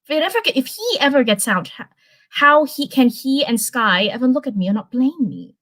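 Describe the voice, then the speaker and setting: A woman voicing a bitter, self-blaming teenage princess in a read-aloud story, halting and emotional. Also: sits high, animated, a bit bright, dry recording, voice pushed up. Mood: anguished guilt. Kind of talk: storybook character voice.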